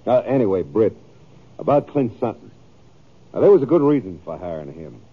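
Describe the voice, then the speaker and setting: Swooping, folksy old-West rancher. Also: male voice, fairly low pitch, sing-song, fairly quick, husky. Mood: insistent. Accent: American. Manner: folksy, ungrammatical. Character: stubborn, self-justifying rough-spoken cattle rancher. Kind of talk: 1950s radio western acting. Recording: thin, phone-like old radio recording.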